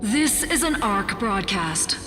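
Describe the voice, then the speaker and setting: Reverberant, measured emergency newsreader. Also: female voice, medium pitch, very animated. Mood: measured reporting. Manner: formal, measured, reporting. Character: measured female newsreader on an emergency resistance broadcast. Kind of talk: scripted news broadcast in a video game. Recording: long reverb with music behind.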